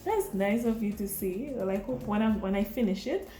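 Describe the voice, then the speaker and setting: A woman with a Grenadian accent, a visiting author who reads a story aloud to a class and then gently answers the children's questions. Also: medium pitch, moderate pace, reverberant, a little hiss or hum. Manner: gentle, encouraging, dramatic when reading.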